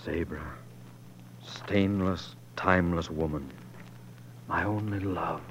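Roistering frontier husband, theatrical. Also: male voice, low-pitched, steady tone, takes it slowly, highs cut off, slight background noise. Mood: tender, romantic. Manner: theatrical, coaxing, florid. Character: roistering, restless, grandiloquent frontier newspaperman and husband. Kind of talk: 1940s radio acting. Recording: old 1940s radio recording.

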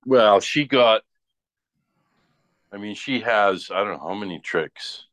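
Casual male talker for a chat show: low voice, dry sound, rambling anecdotal delivery. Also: slow, fairly bright tone, dry recording. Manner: rambling, often tags sentences with "right?".

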